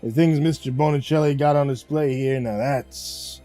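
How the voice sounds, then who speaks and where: A man voicing a huge, surly mob goon with a gruff growl and curt, slangy speech in a narrated story. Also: medium pitch, fairly even intonation, normal speed, warm tone, faint music behind, close to an everyday voice. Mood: gruff, boastful. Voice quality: growling, grunting.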